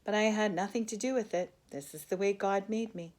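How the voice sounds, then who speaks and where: Woman playing a female storybook ladybug, shy, soft-voiced, modest, sweet. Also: medium pitch, a little fast, close to an everyday voice. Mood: shy, grateful.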